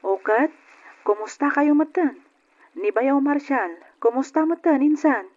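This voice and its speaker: Outspoken female cousin, high voice, frank, persuasive, generous, old radio drama, lo-fi sound. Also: animated, unhurried. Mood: cheerful. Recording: dull lo-fi old radio recording.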